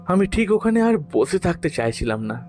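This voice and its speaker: A man narrating a literary story in the first person, in an expressive, deliberate, dramatic way. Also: sits high. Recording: music behind the voice.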